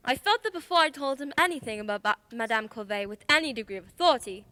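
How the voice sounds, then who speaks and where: A woman's voice: on the high side, expressive, quick pace, sharp tone, dry recording. A woman playing a confident, shrewd detective in a radio play, speaking in a formal, precise, theatrical way. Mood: composed, formal. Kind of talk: stage-style radio play acting.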